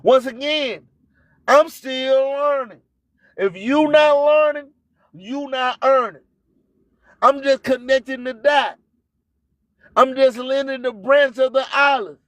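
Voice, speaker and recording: A man's voice: very high voice, slow pace, pauses often, a bit bright, no echo, poor audio. A man hosting an internet radio show, preaching and hyping in repetitive, rhyming catchphrases with a declamatory delivery. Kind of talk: live show hype and preaching monologue.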